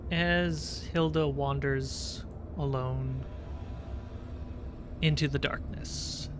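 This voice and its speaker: A game master narrating a tabletop game in a deliberate, halting way, pausing while setting the scene. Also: mid-range voice, fairly even intonation. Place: online livestream video call. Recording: clearly noisy background.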